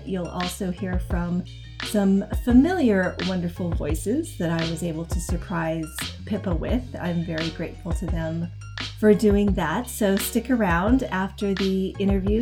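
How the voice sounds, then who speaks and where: Woman, podcast host, casual interview chat, mid-range voice, clear music behind. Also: medium pitch.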